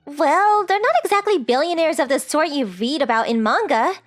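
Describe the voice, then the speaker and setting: A woman's voice: sits high, expressive, normal speed, dry recording, soft background music. A woman voicing a polite, shy teenage rich girl in an anime-style dub, with very formal, hesitant phrasing. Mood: composed.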